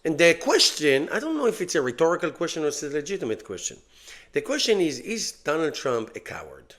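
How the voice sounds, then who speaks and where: A man's voice: a little high, huge pitch swings, fairly quick, fairly bright tone. Opinionated Israeli man, rambling commentary. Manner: opinionated, rambling, repeats words and restarts. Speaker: YouTube channel host. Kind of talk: casual political commentary monologue.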